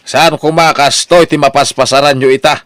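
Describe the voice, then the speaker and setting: A man's voice: a little high, steady tone, moderate pace, fairly bright tone, no echo, studio quality, natural voice. A man playing a stern, tight-fisted father in an Ilocano audio drama. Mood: stern, scolding.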